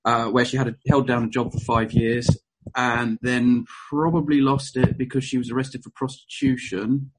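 A man's voice: mid-range voice, flat delivery, normal speed, background noise. A man giving an informal history talk to a room, full of ums and uhs, self-correcting and dropping wry asides. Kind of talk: informal spoken presentation to a live audience.

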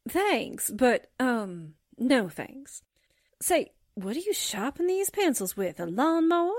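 A woman's voice: sits high, big pitch swings, takes it slowly, dry recording, clean studio sound, full character voice. A woman playing a perky, sassy, scatterbrained secretary in a 1940s-style radio drama, playful and sarcastic. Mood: sassy, teasing.

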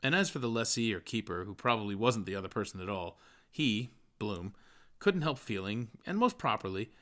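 A man's voice: on the low side, expressive, fairly quick, a bit bright. A man reading literary prose aloud as a scripted audiobook narration. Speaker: audiobook reader.

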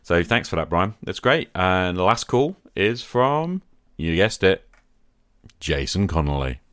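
A man's voice: low voice, big pitch swings, a little slow, a bit bright, clean tone, no echo, clean studio sound. A man hosting a podcast, talking conversationally in an earnest, reflective manner. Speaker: podcast host.

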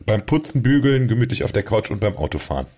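Low husky guy podcast host, joking around, teasing, casual chat. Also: very animated, quick pace, mellow. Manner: playful, teasing, mock announcements.

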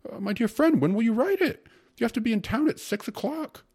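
A man voicing a fussy, gluttonous court secretary in a storybook reading, coaxing and savoring each word with a breathy, stammering delivery. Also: medium pitch, very animated, normal speed, dark tone, no echo, studio quality, pitched above the performer's own voice, full character voice. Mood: dismayed. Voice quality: breathy, often dropping to a whisper. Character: fussy, gluttonous male court secretary, rapturous about food.

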